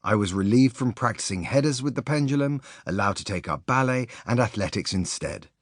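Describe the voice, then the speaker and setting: A man reading a sports biography as an audiobook narrator, in an expressive, measured storytelling manner. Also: mid-range voice, dry recording, studio quality.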